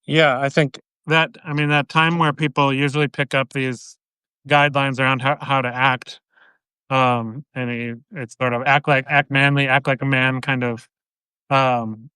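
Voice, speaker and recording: A man being interviewed as an author, explaining in a measured way with "um" pauses. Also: medium pitch, flat delivery, sharp tone, smooth, no echo, poor audio. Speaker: guest author. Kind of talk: interview answers.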